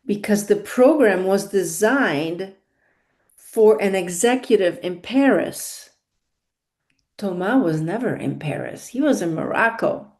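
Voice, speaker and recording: Slow, deliberate woman with a mellow voice. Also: medium pitch, lively intonation. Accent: non-native English. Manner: deliberate, stresses key words, repeats points. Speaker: career consultant. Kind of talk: spoken monologue on careers.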